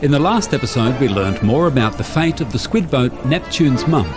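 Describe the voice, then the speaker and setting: A man narrates a comic radio serial in a lively, swooping announcer's voice. Loud music plays underneath. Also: mid-range voice, fairly quick.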